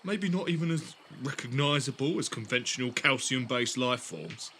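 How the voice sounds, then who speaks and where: An actor playing a young, inquisitive theatre student in an audio drama, questioning and incredulous. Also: male voice, medium pitch, moderate pace, pushed and bright, slight background noise. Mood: doubtful.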